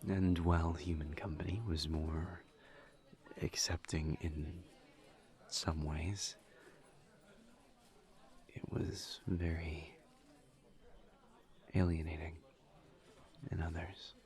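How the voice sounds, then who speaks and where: Man acting a very slow, low-voiced gentle incubus. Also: flat delivery. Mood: guarded, confiding. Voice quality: breathy, creaky, low murmur. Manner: slow, halting, careful, with long pauses. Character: gentle, guarded male incubus demon, earnest and reserved. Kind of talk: intimate roleplay audio drama, partly whispered.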